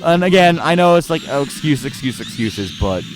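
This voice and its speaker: Higher-pitched guy rambling over game music. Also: lively intonation, fairly quick, low-quality recording. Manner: rambling, repeats and corrects himself mid-sentence. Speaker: let's play narrator reviewing gameplay. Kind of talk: casual gameplay commentary. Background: game music under the voice.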